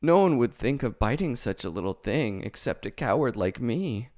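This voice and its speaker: A man's voice: medium pitch, sing-song, moderate pace, a little mellow, natural voice. A man voicing a big cowardly male lion in a storybook reading, meek and ashamed. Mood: timid.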